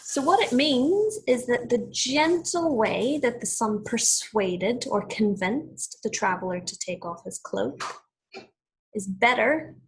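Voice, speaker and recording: A woman with a very husky, breathy voice reads a fable as a teacher would for young children. Her delivery is expressive and deliberate. She explains as she goes and puts questions to the listener. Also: medium pitch, low-quality recording.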